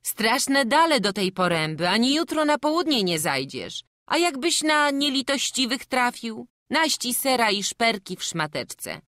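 A woman voicing a kindly, bossy peasant farmwife who fusses over a child loudly, in an audiobook. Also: a little high, big pitch swings, a little slow, hardly any pauses, no room sound, studio quality, pitched above the performer's own voice. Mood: fussing. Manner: loud, fussing, motherly.

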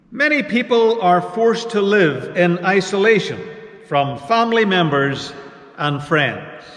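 A man preaching a sermon as pastor, measured and unhurried with pauses between phrases. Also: high-pitched. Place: church. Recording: echoey church hall reverb.

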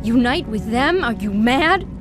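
An actress playing a proud, wary young human sorceress in a video game, speaking in a crisp, self-assured manner. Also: female voice, fairly high pitch, animated, a little slow, music in the background. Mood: defiant.

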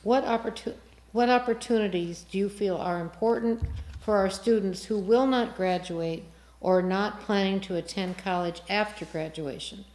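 An echoey recording of a woman moderating a forum. Her voice is medium in pitch, and she reads prepared questions in a polite, formal way. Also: moderate pace, a little mellow. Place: TV studio. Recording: echoey, roomy studio sound.

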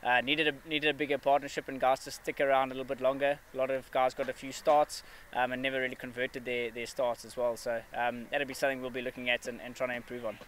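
A man in his thirties answering questions after a match, measured and steady, with frequent fillers and restarts. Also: medium pitch, slight background noise. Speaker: cricket player at a press conference. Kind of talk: post-match press interview. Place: outdoor press area with a backdrop.

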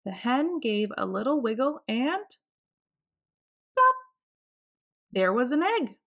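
A woman with a fairly high voice narrates a children's picture book. She reads in an expressive storytelling style, phrasing each line clearly for young listeners. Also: slow pace. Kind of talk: read-aloud storybook narration.

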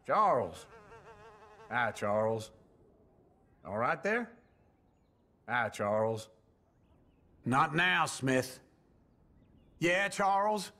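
Drawling, cranky old Southern cowboy. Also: male voice, fairly high pitch, a bit bright, exaggerated voice. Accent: American Southern. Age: older. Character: grumbling, folksy old layabout cowboy in an outlaw gang. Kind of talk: video game character greetings.

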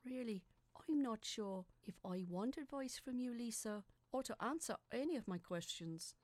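A woman reading scripted first-person fiction as a dramatic narrator, with a deliberate, suspenseful, theatrical delivery. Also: a little high, lively intonation, darkish tone, no echo.

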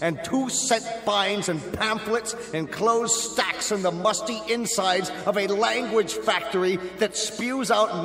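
An actor playing a cold, pompous state official who presides over a tribunal in a radio drama, speaking in emphatic oratory. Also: male voice, high voice, a bit bright, heavily acted. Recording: echoey hall, music underneath.

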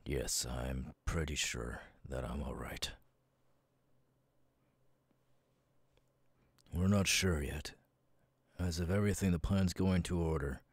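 A man voicing a stern, weary, guarded military commander in an ASMR audio roleplay, husky and brooding. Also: extremely low pitch, no echo, full character voice. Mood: grim, subdued. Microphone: close, dry microphone.

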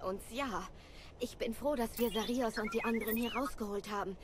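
A woman's voice: on the high side, moderate pace, background noise, natural voice. A woman playing a confident, spirited young Jedi in a video game, with an eager, plucky manner. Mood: relieved, grateful. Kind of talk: dubbed video game cutscene acting.

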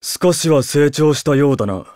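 A man playing an arrogant young demon warrior in an anime game, gruff, curt and scornful. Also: on the high side, animated, moderate pace, darkish tone, no room sound, clean studio sound, lightly acted.

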